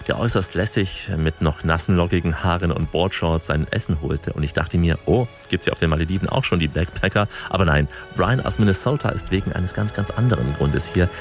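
A very low-pitched man delivers scripted travel-report narration. He works as narrator and voice-over translator. Music plays faintly behind him.

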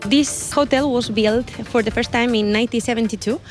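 A Spanish woman from a hotel speaking accented English in an interview, pausing and restarting her sentences as she describes the place. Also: on the high side, music in the background. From Spain. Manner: halting non-native English with frequent restarts. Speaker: hotel staff member.

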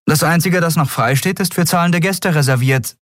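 A man voicing a young woman captain who speaks in a matter-of-fact, brisk way. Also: on the high side, a bit bright, no echo, studio quality, voice pushed up, close to an everyday voice. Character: young woman airship captain, dry and firm.

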